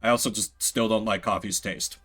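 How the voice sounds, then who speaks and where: A man's voice: fairly low pitch, fairly even intonation, brisk, bright, forceful tone, slightly rough. A man giving casual live game commentary as a streamer, rambling with playful, self-deprecating asides.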